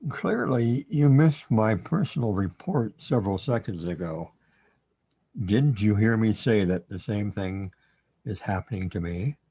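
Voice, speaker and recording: A man playing a calm, wry metaphysical guide in a radio play, unhurried and matter-of-fact, on a dull lo-fi recording. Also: on the low side, slightly rough, exaggerated voice.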